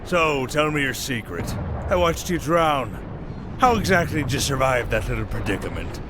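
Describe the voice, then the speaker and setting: A man playing a salty old pirate captain in a fantasy audio roleplay, taunting and unhurried in archaic sailor speech. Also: medium pitch, big pitch swings, over music, close to an everyday voice. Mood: menacing, amused.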